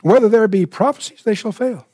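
Male Bible teacher, higher-set voice with a husky edge, deliberate and emphatic expository delivery with asides. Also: warm tone, no room sound, clean studio sound.

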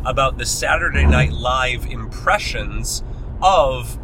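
A man talking casually and thinking aloud as a podcast co-host, recorded inside a moving car with road noise behind him. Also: slow. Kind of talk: casual chat about old radio shows. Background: steady engine and road rumble.

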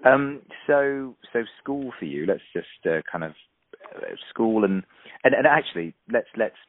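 A man's voice: mid-range voice, expressive, unhurried. A man hosting a podcast interview, chatty and hesitant, with many ums and restarts. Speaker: podcast host. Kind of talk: casual interview chat. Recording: phone-like remote call audio.

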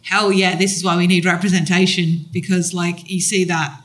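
A podcast co-host chats enthusiastically at a live panel, thoughts spilling out and trailing off. The tone is bright and crisp, with a keen edge. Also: mid-range voice, flat delivery, moderate pace. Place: convention hall stage.

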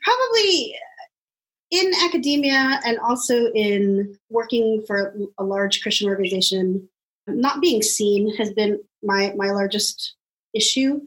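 A woman's voice: medium pitch, unhurried, poor audio. A woman, a podcast guest talking casually and laughing easily. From Los Angeles, United States. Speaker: guest, doctoral candidate and youth minister. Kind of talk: casual conversation.

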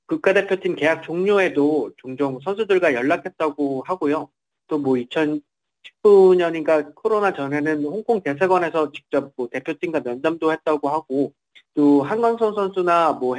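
A man's voice: a little high, rough recording. A man reporting in a semi-formal interview, somewhat hesitantly, often repeating and rephrasing his words.

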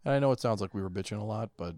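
A man's voice: on the low side, very fast, darkish tone, no room sound. A man co-hosting a casual podcast, talking in laid-back, folksy slang. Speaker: podcast co-host.

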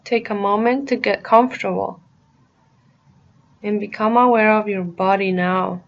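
Woman, meditation guide, very slow delivery, soothing and deliberate, short phrases with pauses, medium pitch, slightly dull recording. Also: steady tone.

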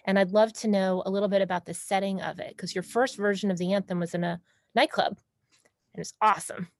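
Staten island lady hosting a call, excited, dry sound. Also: medium pitch, a little fast, no echo. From Staten Island, USA. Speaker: host. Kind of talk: interview chat. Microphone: video call.

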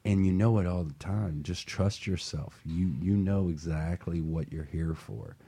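Low, dark-voiced male host. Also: a little slow, husky. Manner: earnest, passionate, repeats words when starting. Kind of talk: motivational talk show.

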